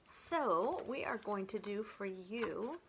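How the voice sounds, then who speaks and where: A middle-aged woman presenting as a children's librarian and reading poetry aloud with clear, deliberate enunciation. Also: mid-range voice, lively intonation, slight background noise.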